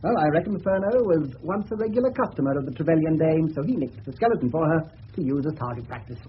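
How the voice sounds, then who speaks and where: An actor with an English accent playing a facetious, mocking police officer in a 1970s British radio drama. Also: male voice, fairly high pitch, expressive, fast talker, lightly acted. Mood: sardonic. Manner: mocking, drily elaborate. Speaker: police detective. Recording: dull old radio broadcast, faint noise.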